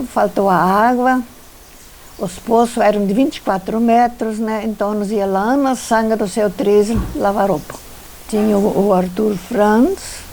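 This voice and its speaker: An elderly woman shares her memories in a southern Brazilian accent. Her voice is warm and of middling pitch, and her talk is homely and colloquial. Faint background noise and ambience sit behind her. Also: rough recording. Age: older, over 60. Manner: colloquial, frequent tag questions. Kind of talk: informal reminiscence for a documentary.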